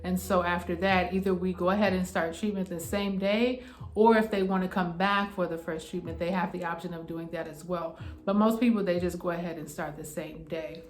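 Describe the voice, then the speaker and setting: A woman's voice: fairly low pitch, fairly even intonation, a little fast, reverberant. Chatty New York woman in her forties. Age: 40s. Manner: chatty, reassuring, often tags "okay?". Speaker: acupuncturist. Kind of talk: casual explainer talking to camera.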